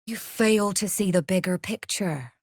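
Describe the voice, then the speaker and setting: A woman's voice: mid-range voice, measured pace, darkish tone, no room sound, clean studio sound. A woman playing a shrewd, mercenary crime boss in a video game, wry and self-assured with cool menace. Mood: wry, businesslike. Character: shrewd, mercenary female Zhentarim smuggler boss, threatening and wry. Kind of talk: video game character voice lines.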